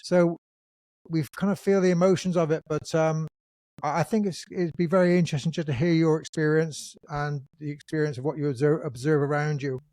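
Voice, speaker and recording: A man hosting a podcast interview, introducing his guest and asking thoughtful questions in a relaxed manner. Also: fairly high pitch, brisk, no room sound. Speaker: podcast host. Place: England.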